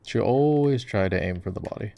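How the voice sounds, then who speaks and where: A man giving relaxed, casual gaming commentary in an ASMR roleplay, speaking off the cuff as he plays. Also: on the low side, a little fast, vocal fry. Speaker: gamer, voice actor playing a gamer boyfriend. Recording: faint keyboard clicking and typing noise. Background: keyboard typing and clicks.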